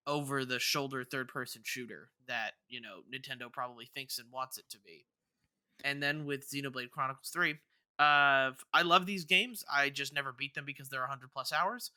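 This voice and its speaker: Male podcast host, casual and rambling with stammered restarts, very forward bright tone, clean articulation. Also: medium pitch, smooth, no room sound. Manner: rambling, stammering with repeated false starts. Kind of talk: casual gaming news discussion.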